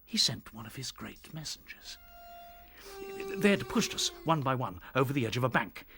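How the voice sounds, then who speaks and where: A man playing a weary, earnest rabbit officer in a radio play, telling his tale gravely with a British accent and a husky voice. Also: medium pitch, big pitch swings, moderate pace, over music. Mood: awed. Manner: grave, dramatic storytelling. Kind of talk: radio drama acting.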